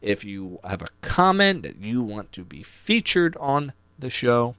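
Lively male podcast host with animated pitch. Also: unhurried, smooth, dry recording. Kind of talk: podcast presenting, chatty announcements.

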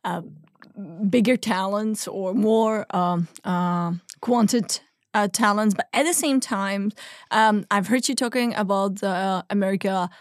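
A woman's voice: mid-range voice, a little slow, dry recording, studio quality. A woman hosting a podcast interview, talking in a casual conversational way.

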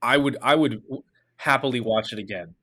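A man's voice: mid-range voice, fairly quick, fairly bright tone. A man, hosting a casual sports podcast in a joking, self-mocking, rambling way. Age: 30s. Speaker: podcast host.

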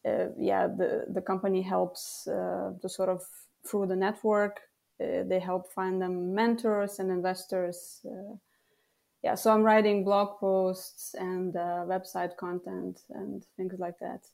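A young woman whose first language is Lithuanian speaks as a guest in a remote interview. Her voice is mid-pitched, and she talks in a friendly, casual way with frequent laughs and fillers. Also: little pitch movement, slow, vocal fry, darkish tone. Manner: laughs often, many ums and uhs. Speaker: guest copywriter. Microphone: video call.

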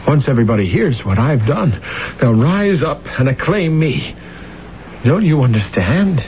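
An actor playing an ambitious, delusional jailed assassin in a radio drama, grandiose, theatrical and fervent. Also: male voice, mid-range voice, big pitch swings, moderate pace, a little hiss or hum, natural voice. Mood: fervent, defiant. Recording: tinny band-limited old radio broadcast, music behind.

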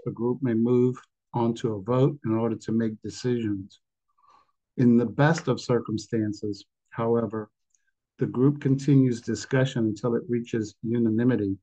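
A man with a mellow, somewhat strained voice, since he is losing it, reads a text aloud steadily. The sound is dull and muffled, as on a video call. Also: medium pitch. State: losing his voice. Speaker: meeting participant reading aloud. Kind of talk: reading a passage aloud, then sharing.

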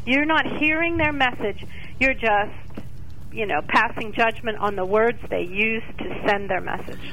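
Woman, talk radio caller, fairly high pitch, outspoken, conversational, phone call with faint noise. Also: measured pace, sharp tone.

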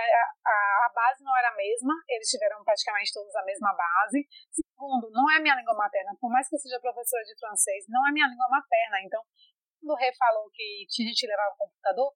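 Woman, fairly high voice, animated chatty storyteller, dry close sound. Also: no room sound, poor audio. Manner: chatty, animated storytelling with many fillers and restarts. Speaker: interviewee, foreign master's student in France. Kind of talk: casual conversational interview.

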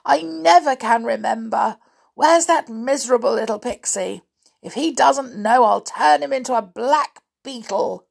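A woman voicing a huge, dim, bad-tempered male giant in a storybook, bullying and loud. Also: mid-range voice, animated, a little slow. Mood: muttering, grumpy. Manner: roaring, thundering, muttering over sums.

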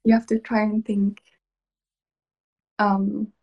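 A woman's voice: mid-range voice, little pitch movement, takes it slowly, vocal fry, muffled recording. A young Swedish woman, a native Swedish speaker with a mixed English and Australian accent, chatting as a podcast guest, laughing often and drifting into whispers. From Sweden. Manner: giggly, slips into soft whispers. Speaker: podcast guest, ASMR creator. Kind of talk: casual interview chat.

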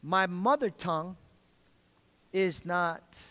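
A man's voice: sits high, slow pace, pushed and bright. A middle-aged man preaching an earnest sermon as pastor, often repeating and restarting his phrases and calling for amens. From Korean heritage. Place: large church sanctuary. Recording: long reverb of a church hall.

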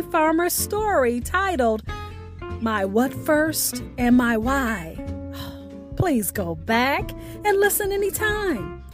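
A woman podcast host reading a scripted sign-off in deliberate, measured phrases with emphatic words. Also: sits high. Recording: music playing behind the voice.

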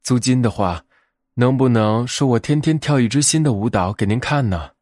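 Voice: medium pitch, normal speed, a little mellow, no room sound, natural voice. A man voicing a shy, earnest little brown bear cub in a storybook tale.

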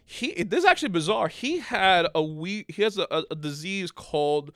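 A man's voice: high-pitched, expressive, brisk, fairly bright tone. A middle-aged man from Cuba in a casual conversation, telling a long story with many restarts and repeated words.

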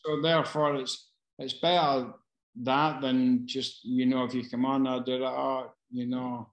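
Casting note: man, casual casting-director Q&A, hesitant and restarting, mid-pitched, roomy echo. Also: level tone. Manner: halting, many fillers and restarts. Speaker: casting director answering questions. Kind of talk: casual Q&A advice.

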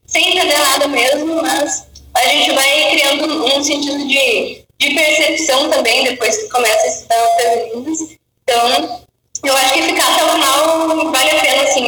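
A woman's voice: sits high, pushed and bright. A woman who teaches English, talking in a thoughtful, hesitant way with many restarts during a live interview chat. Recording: echoey room, far from mic, faint music.

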